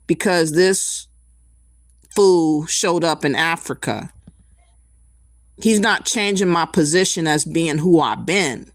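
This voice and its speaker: A woman host giving reaction commentary with a blunt, indignant edge. Her voice sits fairly low and she takes her time, leaning on each point. The sound is dry and close, with no room around it. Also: no room sound. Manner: blunt, indignant, slow deliberate delivery.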